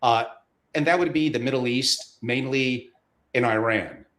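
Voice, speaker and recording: A man's voice: mid-range voice, measured pace, a bit bright. A man hosting an interview, asking questions in an easygoing, self-deprecating way. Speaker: host.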